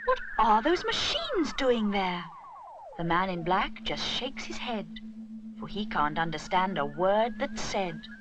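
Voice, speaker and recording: A woman reading a children's story with expressive, dramatic narration. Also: medium pitch, slow pace. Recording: noisy recording.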